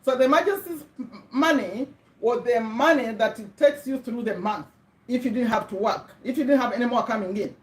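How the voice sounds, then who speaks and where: A middle-aged Ugandan woman talks straight into a webcam, chatty and warm in pitch, repeating words to drive a point home. Her voice sits a little high for a woman. The room around her is bare and echoey. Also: moderate pace. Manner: chatty, checks in with viewers, repeats words for emphasis. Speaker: livestream host. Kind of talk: casual motivational livestream.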